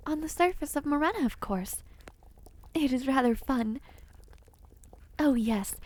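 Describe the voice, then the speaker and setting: Kindly otherworldly witch for audio roleplay, played by a woman: high voice, soothing, old-fashioned phrasing, caring and a little scatterbrained. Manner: gentle, soothing, old-fashioned formal phrasing, easily distracted. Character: kind, dreamy peculiar witch, otherworldly and caring. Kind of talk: audio roleplay addressed to the listener.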